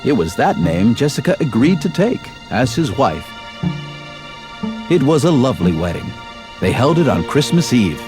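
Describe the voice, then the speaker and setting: An actor voicing a folksy, earnest, kindly storybook figure in a cartoon video game. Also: male voice, medium pitch, very animated, moderate pace, natural voice. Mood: folksy, narrating. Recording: music behind the voice.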